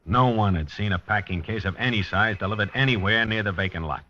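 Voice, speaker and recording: Dogged noir detective, American, low-pitched and terse, very punchy delivery, vintage radio drama. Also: male voice, a little fast, pushed and bright. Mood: grim, methodical. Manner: terse, wry, hard-boiled. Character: dogged, hard-boiled male police detective narrating his case. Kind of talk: 1950s radio drama acting, noir narration. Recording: dull vintage 1950s radio recording.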